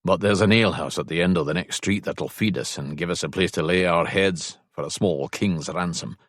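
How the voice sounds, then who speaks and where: A man's voice: sits low, brisk, no room sound, clean studio sound. A man voicing a wry, grumbling Scottish clansman in an audiobook, with a Scottish accent. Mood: wry, grimacing. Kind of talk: audiobook character dialogue.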